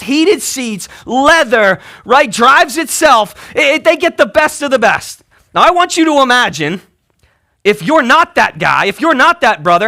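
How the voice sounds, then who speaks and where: A male pastor with a very high, bright voice delivers a conversational sermon. His pitch swings widely as he tells the story with emphasis. He keeps the wording colloquial and often checks in with short tag questions. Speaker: pastor preaching.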